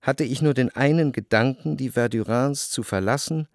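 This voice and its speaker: An actor gives us a reflective male memoirist narrating a literary radio drama. His mid-pitched voice is measured, introspective and formal, in a close, dry recording. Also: no room sound, natural voice. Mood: detached recollection. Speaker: first-person narrator.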